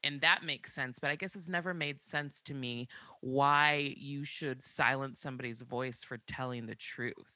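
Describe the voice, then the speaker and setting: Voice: medium pitch, steady tone, a little slow, sharp tone, no room sound. An interviewer asking questions in a casual conversation, supportive, with frequent agreeing backchannels.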